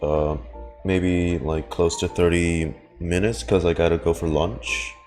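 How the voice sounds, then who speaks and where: A man's voice: deep voice, steady tone, unhurried, a little mellow. A man giving casual, rambling livestream commentary on a game in informal language with some mild swearing. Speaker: game streamer. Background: game music.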